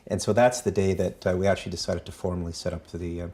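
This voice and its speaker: Middle-aged Canadian-born man, very deep voice, thoughtful and halting, personal history storyteller. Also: flat delivery, darkish tone. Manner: measured, many ums and uhs. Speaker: foundation president.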